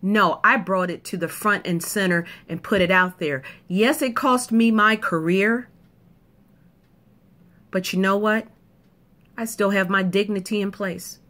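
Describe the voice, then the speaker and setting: A woman's voice: mid-range voice, unhurried, fairly bright tone. A woman delivering an emphatic, punchy opinion monologue to camera, repeating points for effect. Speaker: video commentator.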